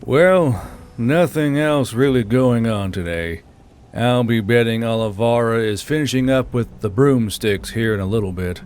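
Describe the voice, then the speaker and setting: A man's voice: mid-range voice, measured pace, darkish tone, clear, resonant tone, lightly acted. A man voicing an easygoing, offhand human man with a muttering delivery in an audiobook. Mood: casual. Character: easygoing, casual human man, an office boss named Yul. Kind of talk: audiobook character dialogue.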